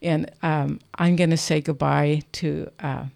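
A woman hosting a casual radio interview in a studio, enthusiastic but halting as she searches for words. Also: low-pitched, level tone, creaky, a little mellow. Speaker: radio host. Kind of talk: casual unscripted on-air chat.

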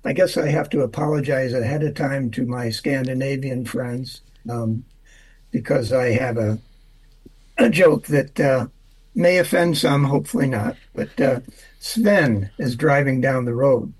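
A man giving a casual weather forecast on the radio, relaxed and unhurried, with many filler sounds. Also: mid-range voice, slightly rough, low-quality recording. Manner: relaxed, drawn out with lots of uhs. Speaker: weather forecaster and humor guest.

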